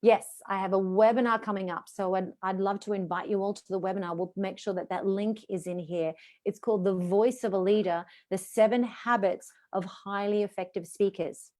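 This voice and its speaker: A woman's voice: mid-range voice, fairly even intonation, normal speed, hardly any pauses, a little mellow. A middle-aged woman, a voice coach, teaching an online session over a video call in an encouraging coaching tone.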